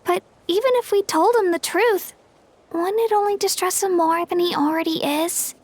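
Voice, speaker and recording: An actress playing an earnest, timid young girl in a game cutscene, gentle and hesitant. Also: extremely high pitch, normal speed.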